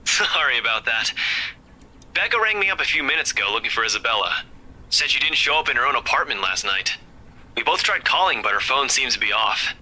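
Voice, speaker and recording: A man's voice: medium pitch, sing-song, brisk, bright, forceful tone, exaggerated voice, rough recording. An actor playing a concerned young male police officer and friend in a visual novel. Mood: worried, serious.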